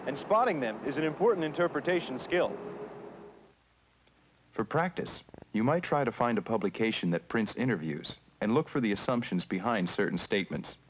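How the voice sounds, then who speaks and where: A man's voice: medium pitch, muffled recording, a little hiss or hum. A man narrating an instructional film, speaking to the viewer in a didactic, scripted way. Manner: didactic, addresses the viewer directly. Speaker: narrator and presenter of an educational film. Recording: 1970s educational film soundtrack, music behind. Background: music.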